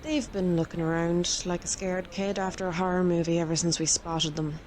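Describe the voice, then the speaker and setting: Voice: medium pitch, steady tone, normal speed, a little hiss or hum, natural voice, poor audio. A performer voicing a brusque, impatient female teammate on a chase.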